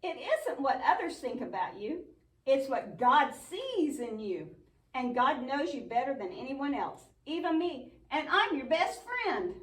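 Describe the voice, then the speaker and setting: A woman's voice: high voice, big pitch swings, measured pace. A woman playing an earnest, patient but bossy young puppet friend in a church show, explaining and chiding. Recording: echoey room, distant mic.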